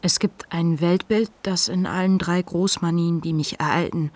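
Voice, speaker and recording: A woman playing the introspective inner voice of a manic writer in a radio drama, telling memories in a measured way. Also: on the low side, steady tone, a little mellow, no room sound, natural voice. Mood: reflective, uneasy. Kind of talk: literary radio drama narration.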